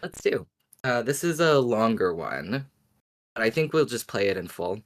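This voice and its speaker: A man co-hosting a podcast in casual chat, often halting and repeating phrases as he thinks. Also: mid-range voice, sing-song. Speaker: podcast co-host.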